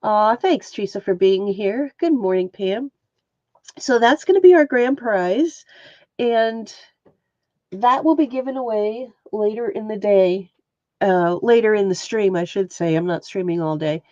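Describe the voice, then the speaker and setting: A woman's voice: medium pitch, a little slow, warm tone, no room sound, dull audio. A woman with a stuffy, sniffly nose hosting a casual crafting livestream and chatting with viewers by name. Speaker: livestream host and textile artist. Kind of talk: casual livestream chat while showing stitching.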